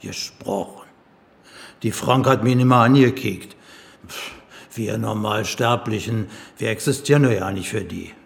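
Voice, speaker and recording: A man's voice: lowish voice, unhurried, gravelly. An actor playing a gossipy doorman who chuckles and talks conspiratorially. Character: gossipy man, a building doorman.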